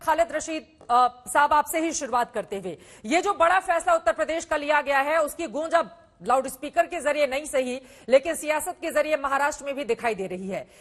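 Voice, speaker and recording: High-pitched woman news debate anchor. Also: fairly quick, fairly bright tone.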